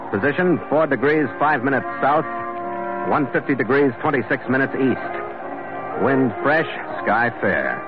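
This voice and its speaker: An actor playing a hardy, wry sea captain, narrating in a hard-boiled, clipped manner as in a 1940s radio adventure. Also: male voice, mid-range voice, expressive, a little slow, close to an everyday voice. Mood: brisk, formal. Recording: muffled old radio broadcast, loud music.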